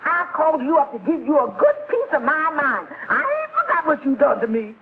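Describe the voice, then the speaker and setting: A woman's voice: high-pitched, sing-song, fairly quick. An actress playing a scornful, middle-aged jilted mother who scolds and snarls, in a vintage television sitcom. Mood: furious, scolding. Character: scornful jilted older woman, a domineering mother. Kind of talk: 1950s television sitcom acting. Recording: noisy, tinny vintage TV soundtrack.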